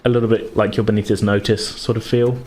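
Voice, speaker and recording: A man narrating a tabletop role-playing game as game master, in a halting, conversational way. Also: fairly low pitch, level tone, a little mellow. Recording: clear background noise, room ambience.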